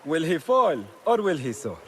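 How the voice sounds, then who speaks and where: A man's voice: fairly high pitch, big pitch swings, normal speed, a little hiss or hum. A man voicing an eager, stubborn young companion in a video game, earnest and admiring. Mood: playful, admiring. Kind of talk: cinematic video game acting.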